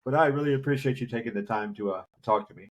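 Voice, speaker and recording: A man's voice: mid-range voice, fast, a little hiss or hum. A man hosting a casual interview, chatty and chuckling often. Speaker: podcast host.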